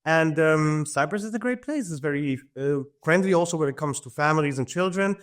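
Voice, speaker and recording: Easygoing man, somewhat high voice. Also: brisk. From Germany. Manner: easygoing, chuckles often, many fillers. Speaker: podcast guest. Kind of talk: casual interview talk.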